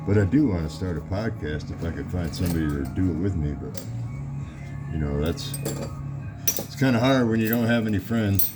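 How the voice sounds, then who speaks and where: Man, very deep voice, casual repair vlog, rambling and apologetic, phone mic, clear music behind. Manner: rambling, apologetic, talks to viewers while working. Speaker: vlogger working on his car. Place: garage or driveway, working on an engine. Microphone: phone camera set near him.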